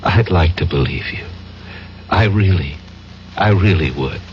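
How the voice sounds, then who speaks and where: An actor playing a skeptical, wary male reporter who questions haltingly in a 1970s radio drama. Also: extremely low pitch, animated. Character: wary, skeptical unemployed reporter framed for murder. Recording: muffled, noisy old radio drama recording.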